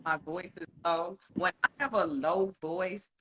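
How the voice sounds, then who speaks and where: Frank, profane podcast co-host with a bright voice. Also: male voice, high-pitched, fairly even intonation, slight background noise, poor audio. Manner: frank and profane, laughs often, slows down to stress points. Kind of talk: casual podcast chat.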